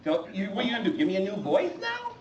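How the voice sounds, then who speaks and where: Someone doing a squeaky lisping young prophet. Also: wildly swooping pitch, fast talker. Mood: panicky. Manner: stammering, fretful complaining. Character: young reluctant prophet Jeremiah, nervous and whiny. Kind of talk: children's church puppet skit. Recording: roomy, distant, muffled, faint noise.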